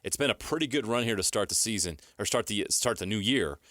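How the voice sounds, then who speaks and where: A man's voice: on the low side, expressive, fast, a bit bright, dry recording, studio quality. A man co-hosting a sports radio show, talking through analysis of a team.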